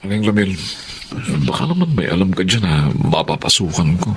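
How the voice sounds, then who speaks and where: An actor playing a proud, brooding middle-aged husband in a Filipino radio drama, with heavy, emotive delivery. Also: male voice, deep voice, big pitch swings, a little fast, a little hiss or hum. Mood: dejected.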